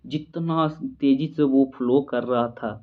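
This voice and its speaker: Through thin, phone-like audio, a man with an Indian accent teaches in an informal, step-by-step way. His voice sits at a middling pitch, and he repeats key points, slowing down for English definitions and often checking for understanding. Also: steady tone. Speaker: physiotherapy lecturer. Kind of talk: informal explanatory lecture, point by point.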